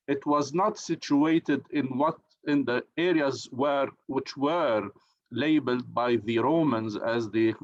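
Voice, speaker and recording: A man who is a professor, speaking on an online conference panel, hesitant, with many fillers and restarts. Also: mid-range voice. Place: online video call. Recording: dull lo-fi video call audio.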